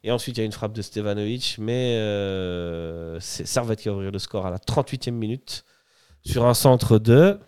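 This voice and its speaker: A man talks football on a podcast, dropping jokes and hesitations as he works through lineups. His voice is smooth and clear, recorded close and dry. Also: lowish voice, moderate pace, dark tone, dry recording. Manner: jokey, many hesitations, reads team lineups. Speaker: podcast co-host. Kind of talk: casual football analysis chat.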